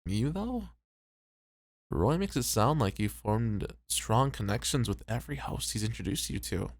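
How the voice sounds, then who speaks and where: A man's voice: fairly low pitch, expressive, a little slow, no room sound. A man voicing a conscientious, weary, self-doubting host in an ASMR roleplay, sincere and a little hesitant. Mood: curious, relaxed. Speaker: voice actor.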